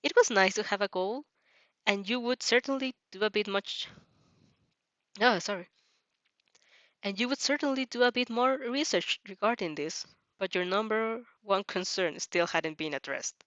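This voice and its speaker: A woman reads fiction aloud with a casual, reactive delivery. Her pitch is average, and the sound is very dry with little room, on a slightly dull, lo-fi recording. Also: moderate pace, fairly bright tone, dry recording. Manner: giggly asides, stumbling over words while reading. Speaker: reader reacting to fan fiction.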